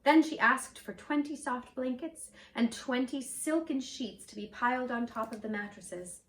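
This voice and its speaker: A woman in costume reading a fairy tale aloud in a gentle, expressive storytelling voice. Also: on the high side, unhurried. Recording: roomy echo, mic at a distance.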